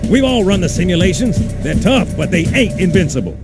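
A man playing a gruff, swaggering marine sergeant in a video game, barking with theatrical, folksy bravado. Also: high voice, big pitch swings, fairly quick. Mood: stern, swaggering. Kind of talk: video game cutscene acting. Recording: game dialogue under loud music.

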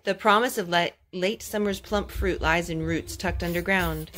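A young woman reading a children's picture book aloud at home, phrase by phrase in a measured, careful way. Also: on the low side, a bit bright. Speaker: storytime host reading to her children. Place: carpeted room at home. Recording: clear background noise and clicks, homemade.

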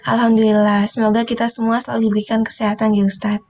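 A woman's voice: on the high side, little pitch movement, quick pace, a little mellow. Polite young woman moderating. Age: young adult. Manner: polite, clear, formal. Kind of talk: formal moderating. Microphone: video call webcam.

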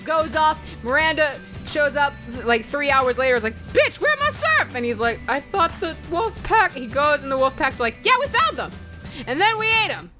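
A woman's voice: sits high, very animated, normal speed, bright, forceful tone. A woman telling a comedic story of a game session, playful and profane, acting out the quotes as she goes. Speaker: storyteller, let's play host. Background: background music.

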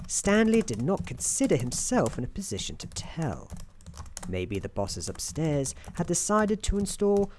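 Very low, soft-spoken woman narrating ASMR. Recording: constant keyboard typing and clicking noise. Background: keyboard typing and tapping.

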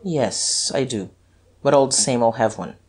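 A suspicious storybook detective, as performed by a narrator, a man with a husky voice. He speaks haltingly and mutters, shaken yet stubborn. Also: medium pitch, darkish tone. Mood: doubting.

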